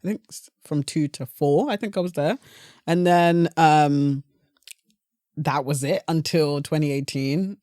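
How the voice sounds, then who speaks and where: A young woman with a British accent and a low-pitched voice chats as a guest on a podcast. She talks informally and with animation, as if telling stories to a friend. The sound is dry, with hardly any room in it. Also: unhurried, warm tone, dry recording. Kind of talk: casual chat.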